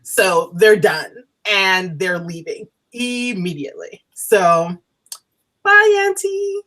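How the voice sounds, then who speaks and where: Woman co-host, lively swooping pitch, emphatic chatty review talk. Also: slow pace. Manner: animated, emphatic, restates points. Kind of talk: casual review chat.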